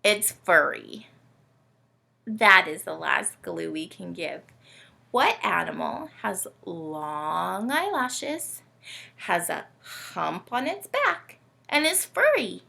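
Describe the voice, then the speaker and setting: A woman hosting storytime for children in an animated, teacherly way, often putting questions to her young listeners. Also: mid-range voice, slow, vocal fry. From Canada. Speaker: children's read-aloud host.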